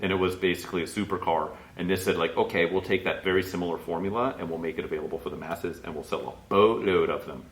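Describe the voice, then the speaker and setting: Low-voiced man in casual podcast chat. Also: fairly even intonation, fast talker, hardly any pauses. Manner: rambling, many fillers and restarts. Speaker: podcast co-host. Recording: roomy echo.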